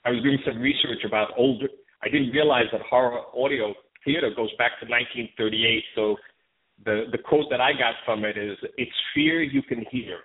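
A man's voice: medium pitch, moderate pace, a little hiss or hum. A man hosting a talk show and interviewing a guest, heard over a tinny, phone-like line. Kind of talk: conversational interviewing with scripted show introduction.

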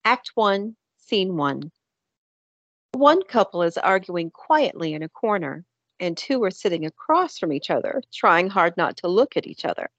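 A woman's voice: fairly low pitch, sing-song, unhurried, a bit bright, no echo, highs cut off. A woman reading scripted narration for a radio play.

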